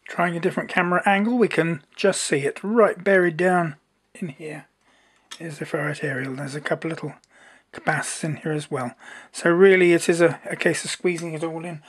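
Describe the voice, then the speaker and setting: Husky man, casual demonstrator. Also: fairly high pitch, fairly even intonation, a little fast. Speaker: presenter demonstrating a homemade radio. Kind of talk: casual hands-on demonstration talk.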